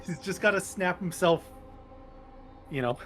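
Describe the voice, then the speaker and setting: A man with a fairly high voice improvises casually as a player in a tabletop game. He sounds amused and a little hesitant, with soft music underneath. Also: animated, slow. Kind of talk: casual improvised in-character chat.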